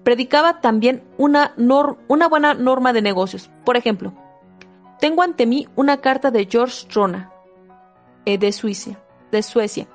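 A woman giving a steady, measured reading of a self-help book, with a few small slips and restarts. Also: a little high, soft background music. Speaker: audiobook reader.

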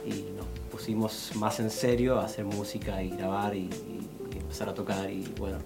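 A man from Chile, a guest musician explaining his music in technical detail, a bit halting. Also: fairly low pitch, little pitch movement, gravelly. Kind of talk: casual interview chat.

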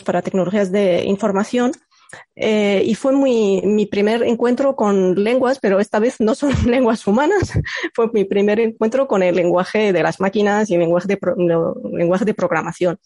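A woman in her thirties from Egypt, a native Arabic speaker, answering in a relaxed interview with many pauses and restarts. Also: mid-range voice, dry recording. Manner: hesitant, many fillers and restarts. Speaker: computational linguist guest. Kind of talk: conversational podcast interview.

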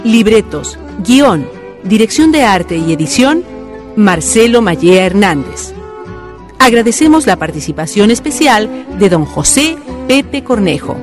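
A woman with a Chilean accent narrating and announcing a community radio drama in a measured way. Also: mid-range voice, big pitch swings, music in the background.

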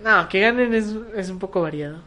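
A man's voice: sits high, fairly quick, pushed and bright. A man with a Mexican accent co-hosting a podcast in casual chat, teasing his friend and swearing casually. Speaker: podcast co-host.

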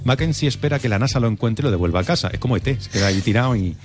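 A man from Spain hosting a radio film show, joking and quick to argue as he reads out film synopses. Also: mid-range voice, lively intonation. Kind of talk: film review radio chat.

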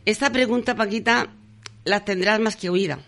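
A woman's voice: medium pitch, moderate pace, a bit bright. An older woman hosting a radio interview from the studio, talking with many fillers and restarts. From Andalusia, Spain. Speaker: radio host.

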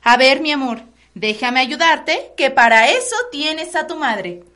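An actress voices an affectionate mother in a radio soap. Her voice is very high and bright, fussing tenderly over her grown son. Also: expressive, unhurried, exaggerated voice. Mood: doting. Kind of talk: radio soap opera acting.

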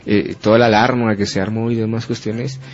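A man's voice: fairly low pitch, little pitch movement, brisk. A man, co-hosting a radio show, agreeable and hesitant with many fillers. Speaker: radio co-host. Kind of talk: casual radio talk. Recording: dull lo-fi radio with faint music.

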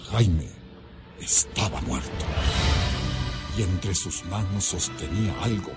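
A man's voice: sits low, lively intonation, slow. A man with a Mexican accent narrating a scripted horror radio drama in a solemn, suspenseful manner. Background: loud dramatic music.

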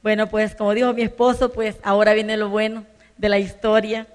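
Older Salvadoran woman, mid-pitched, earnest conference testimony; big reverberant hall. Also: flat delivery, a little fast. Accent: Salvadoran Spanish. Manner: earnest, rising to emphatic bursts. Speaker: business conference speaker. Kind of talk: personal testimony speech. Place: large conference hall stage. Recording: long reverb of a large hall.

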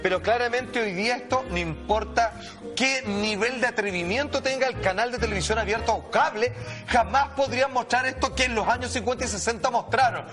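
A man with a Chilean accent giving animated, slangy, emphatic commentary, speaking straight to his listeners. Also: very high-pitched, fairly quick, music in the background. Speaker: talk show commentator.

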